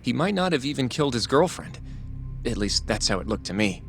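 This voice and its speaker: An actor playing a timid, anxious young lawyer in a video game, speaking hesitantly and politely. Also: male voice, mid-range voice, big pitch swings, a little fast, creaky. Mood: thoughtful. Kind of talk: English video game voice acting. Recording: music under the voice.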